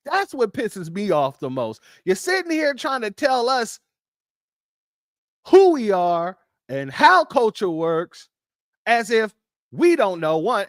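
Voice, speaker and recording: A livestream host speaks with heated emphasis. The pitch leaps up and down constantly. The sound is dry, with no room around it. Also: fairly bright tone, no room sound. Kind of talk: livestream commentary.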